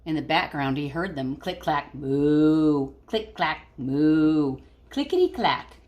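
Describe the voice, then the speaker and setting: A woman's voice: low voice, slow, muffled recording. A woman teacher reading a picture book aloud expressively and talking to children, explaining things simply. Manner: explains words simply, animated storytelling.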